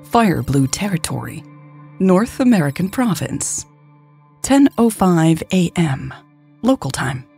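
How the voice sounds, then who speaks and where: A woman's voice: on the low side, very animated, slow pace, creaky, darkish tone, faint music behind. A woman hosting a podcast and reading scripted fiction as its narrator. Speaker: podcast host and author narrating her story.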